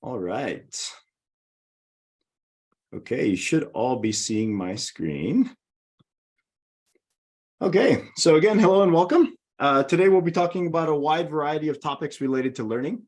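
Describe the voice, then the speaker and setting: A man's voice: fairly high pitch, lively intonation, measured pace, long pauses. A middle-aged man with a Hawaiian accent, presenting a webinar over a video call in a friendly, organized way. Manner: friendly, organized, walks through slides.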